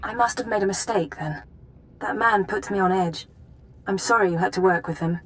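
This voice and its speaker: A woman's voice: medium pitch, moderate pace, natural voice. An actress playing an efficient, apologetic female intelligence officer in a video game, formal in manner. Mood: apologetic, brisk.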